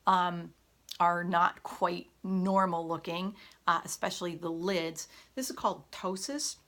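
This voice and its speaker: A woman chatting in an unscripted home vlog, often pausing with fillers and correcting herself, her voice hoarse at times. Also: on the low side, fairly bright tone. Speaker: vlogger. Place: home room. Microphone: camera on a tripod.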